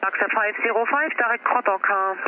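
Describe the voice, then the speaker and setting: A man's voice: high-pitched, fairly quick. A man working as an air traffic controller, giving standard instructions over aviation radio. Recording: narrow tinny ATC radio, faint noise.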